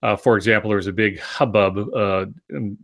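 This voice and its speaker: An older man in his sixties with a fairly low voice talks through ideas as a podcast co-host. He explains conversationally, in a measured way, often pausing mid-phrase. He is on a video call from a home office. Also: steady tone, creaky, fairly bright tone. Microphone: video call with earphones.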